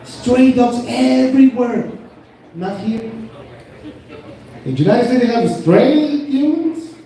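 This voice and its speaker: A man's voice: very high voice, animated, slow, creaky, dark tone. Mexican man doing stand-up in broken English. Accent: Mexican Spanish. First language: Spanish. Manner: broken English mixed with Spanish slang, self-mocking. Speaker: stand-up comedian. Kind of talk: stand-up comedy set. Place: comedy club stage. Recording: echoey room from far off, crowd behind.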